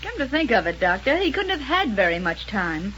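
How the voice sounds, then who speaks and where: Sensible heroine, higher-voiced, old radio serial. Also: female voice, sing-song, fairly quick, fairly bright tone. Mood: reasoning. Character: sensible, quick-thinking heroine of space adventure. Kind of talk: 1930s radio serial acting. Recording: noisy crackling 1930s radio recording.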